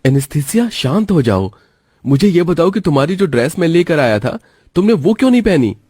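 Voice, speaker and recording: A man's voice: fairly high pitch, sing-song, fairly quick, dark tone, breathy edge, no echo, studio quality. A man playing a stern young husband in a cartoon family drama, speaking firmly and emphatically. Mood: irritated.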